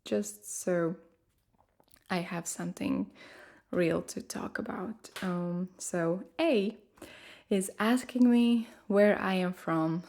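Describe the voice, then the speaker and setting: A woman from Latvia with a distinct Latvian accent, chatting casually and fairly softly in her full voice, often pausing with "um". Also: on the low side. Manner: relaxed, hesitant with many ums, talking quite softly. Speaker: ASMR creator.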